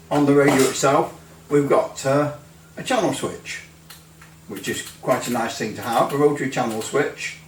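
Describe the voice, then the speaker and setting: A man giving a casual, rambling hands-on product review as a hobbyist, hesitating and repeating phrases for emphasis. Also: medium pitch. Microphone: microphone some distance from the speaker. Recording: roomy echo, distant microphone.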